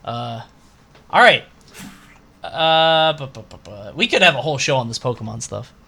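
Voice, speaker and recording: A man's voice: medium pitch, animated, moderate pace, creaky, pushed and bright, slightly rough. A man co-hosting a podcast, reading out questions and bantering casually in a blunt, sarcastic, sweary way.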